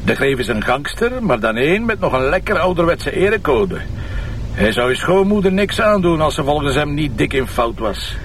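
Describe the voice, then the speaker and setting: An actor playing a wry, confident police commissioner in a crime drama, speaking colloquially with a Flemish accent. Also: male voice, a little high, big pitch swings, normal speed, a little hiss or hum. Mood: explaining. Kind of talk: television crime drama acting.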